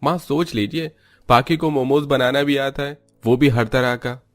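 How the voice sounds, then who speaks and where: Young cartoon son acted by a man: mid-pitched, cheeky, persuasive, teases his family. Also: unhurried, lightly acted. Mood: coaxing. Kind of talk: cartoon voice acting.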